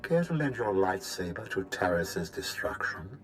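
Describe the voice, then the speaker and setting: Deep, imperious Sith lord for a game, ruthless and measured; very low register, faint score underneath. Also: male voice, very animated. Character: commanding Sith lord, ruthless male officer. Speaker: video game character. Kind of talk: game voice acting. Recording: game audio with music behind.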